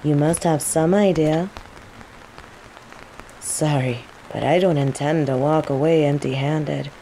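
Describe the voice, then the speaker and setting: A woman playing a cocky, teasing female mage who controls plants, in a scripted roleplay audio drama, with an unhurried, playful drawl. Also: deep voice, poor audio. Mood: cool, probing. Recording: steady rain ambience noise. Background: falling rain.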